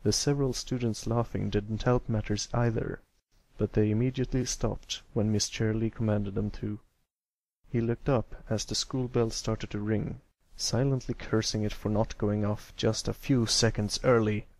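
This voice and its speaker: A man's voice: fairly low pitch, little pitch movement, moderate pace, darkish tone, no echo, low-quality recording. A man reading scripted story narration as an amateur narrator. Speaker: amateur fan fiction narrator.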